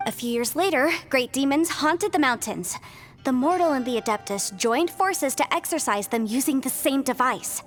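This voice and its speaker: A woman playing an earnest, determined young half-immortal heroine in a video game, explaining and encouraging. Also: high voice. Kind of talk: video game story acting.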